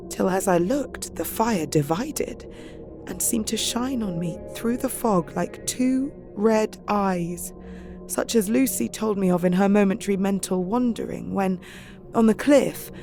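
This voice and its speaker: A woman's voice: medium pitch, natural voice. An actress with a British accent playing an anxious young Victorian woman recounting her diary in an audio drama.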